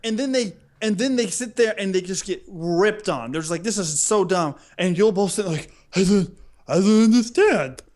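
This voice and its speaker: A man with a notably high-pitched voice co-hosts a casual podcast. He rants comically, with an excitable, theatrical delivery that acts out reactions as he goes. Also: moderate pace. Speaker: podcast co-host. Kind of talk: casual chat, comic ranting.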